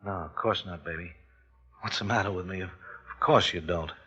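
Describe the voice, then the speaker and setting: An actor playing a brash, eager, slangy new husband with an American accent, cajoling in an old radio thriller. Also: male voice, sits low, normal speed, over loud music, close to an everyday voice. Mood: chastened. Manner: colloquial, cajoling. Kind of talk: 1950s radio thriller acting. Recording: noisy, dull old radio recording.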